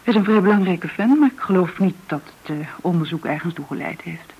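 A woman acting in a radio play as a businessman's confiding friend, tentative and at times soft-spoken. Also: on the low side, brisk, slightly rough. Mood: troubled. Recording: dull, noisy old radio recording.

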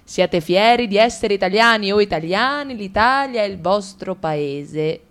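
A woman's voice: medium pitch, lively intonation, measured pace, a bit bright. A woman narrating a satirical sketch for a radio play in a wry, ironic tone.

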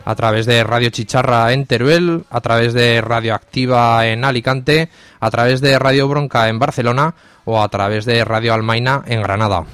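A man's voice: medium pitch, moderate pace, fairly bright tone, clean tone, no room sound, soft background music. A man with an accent from Spain presenting a live community radio show in an informal, hesitant way. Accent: Spanish (Spain). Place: radio studio.

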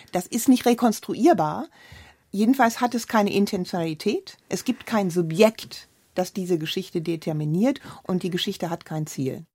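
A woman gives analytical commentary as a literary scholar. Her mid-pitched voice is recorded dry, with no room around it. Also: breathy edge, no room sound.

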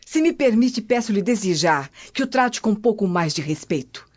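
A woman's voice: mid-range voice, animated, moderate pace, darkish tone, close to an everyday voice. An actress playing a dignified Roman lady of the house in a radio drama, polite but firm. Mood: firm reproach. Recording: dull lo-fi audio.

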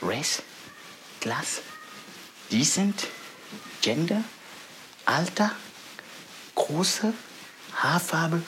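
An actress playing a brooding cultural worker, musing in short, fragmented spoken-word phrases. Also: female voice, deep voice, very animated, very slow, pauses often, a bit bright, a little hiss or hum, heavily acted, low-quality recording. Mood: brooding, detached.

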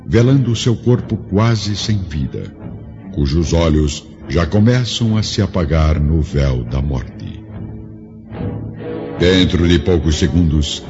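A man narrating a radio drama in a solemn, grave and theatrical scripted style. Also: low voice. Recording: muffled radio drama with faint music.

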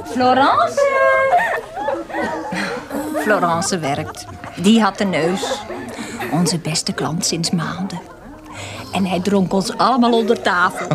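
A woman's voice: lowish voice, big pitch swings, slow, pauses often. An actress playing a merry, bawdy brothel woman in a historical audio drama, teasing and giggly. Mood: flirtatious, amused. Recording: loud music and noisy crowd behind.

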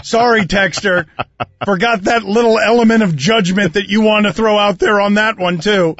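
A man hosts a studio sports talk show with a wry, chatty delivery, his voice sitting fairly high. The sound is dry and close but dulled, like a broadcast feed. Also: fairly even intonation, normal speed, a bit bright, dry recording. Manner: chatty, wry, reads listener texts. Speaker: sports talk radio host. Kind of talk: casual studio banter. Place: radio studio. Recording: dull lo-fi radio broadcast.

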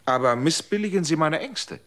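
An actor playing an anxious former rebel who pleads and asks searching questions in a radio drama. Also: male voice, fairly high pitch, animated, moderate pace, fairly bright tone.

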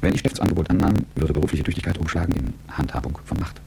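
A deep-voiced, gravelly executive narrator. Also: male voice, racing, darkish tone, a little hiss or hum, heavily acted. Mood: reflective, sober. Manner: reflective, articulate storytelling. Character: cool, calculating, world-weary male business executive looking back. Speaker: narrator and lead character. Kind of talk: radio drama first-person narration.

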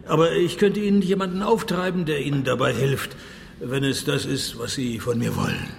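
A man's voice: mid-range voice, normal speed, vocal fry, a little hiss or hum, close to an everyday voice. A man playing a sardonic, hard-drinking private detective in a radio play, dry and laconic. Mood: deadpan, sardonic. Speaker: narrator and lead actor of a radio play. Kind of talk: radio play narration and dialogue.

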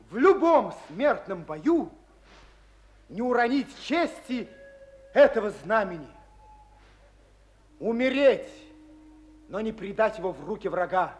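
A man's voice: high voice, heavily acted. A man acting a military commander in a radio play, declaiming solemnly in oaths and orders.

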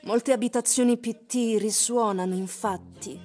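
Woman, soft-edged mellow timbre, measured literary narration, radio drama storytelling, light music behind. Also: on the high side, fairly even intonation. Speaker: actress narrator.

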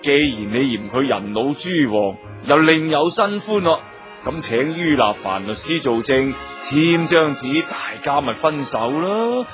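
Coaxing, anxious gentleman, faint music. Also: mid-range voice, expressive, unhurried. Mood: persuasive, probing. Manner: persuasive, coaxing. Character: anxious, placating, self-interested gentleman philanthropist. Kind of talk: Cantonese radio drama acting.

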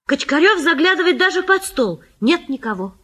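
A woman's voice: high voice, sing-song, normal speed, fairly bright tone. A woman narrator reading stage directions for an audio play in scripted narration.

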